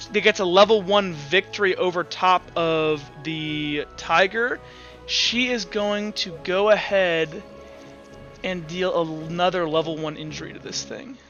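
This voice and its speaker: A man running a tabletop roleplaying game, improvising his narration in a halting way and repeating words as he thinks aloud. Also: a little high, bright, forceful tone, lo-fi sound. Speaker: game master.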